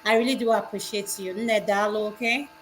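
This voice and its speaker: A woman's voice: medium pitch, fairly even intonation, brisk. A woman hosting a live online discussion, casually and courteously moderating her guests. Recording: noisy online call with hum.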